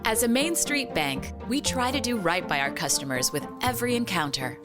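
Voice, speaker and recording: A woman reading a bank commercial in a polished, scripted announcer style. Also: medium pitch. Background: music.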